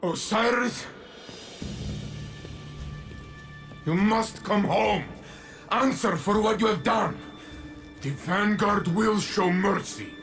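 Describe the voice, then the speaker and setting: An actor voicing a righteous, anguished warrior in a video game, gravelly and declamatory. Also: male voice, high-pitched, takes it slowly, a bit bright, soft background music, heavily acted. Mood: outraged. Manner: declamatory, impassioned. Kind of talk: video game cutscene dialogue.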